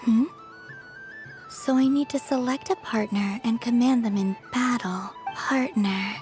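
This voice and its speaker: Woman playing soft polite curious game girl, bit hesitant, slow talking, music playing. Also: on the high side, lightly acted. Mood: gentle, wondering. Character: gentle, sheltered, curious young woman in fantasy game. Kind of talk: soft video game dialogue acting. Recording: music behind the voice.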